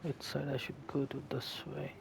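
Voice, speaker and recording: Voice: completely flat delivery, moderate pace. A gamer giving casual gameplay commentary, muttering to themself with sing-song filler. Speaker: gaming video maker. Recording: clear rumbling background noise.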